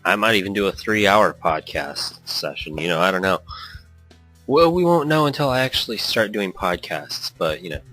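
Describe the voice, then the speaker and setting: A man's voice: low-pitched, expressive, moderate pace, bright, forceful tone, poor audio. A man giving casual, chatty let's play commentary that drifts off on tangents. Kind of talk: casual, rambling game commentary with read-aloud dialogue. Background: music.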